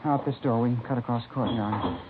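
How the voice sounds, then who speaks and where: A man acts out a troubled teenage boy in an old radio crime drama. He is evasive and halting. The recording is muffled, narrow and noisy. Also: mid-range voice, close to an everyday voice. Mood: uneasy, probing. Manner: hesitant, halting. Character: troubled seventeen-year-old male student, evasive, confessing to knifings. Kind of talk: 1950s radio drama acting.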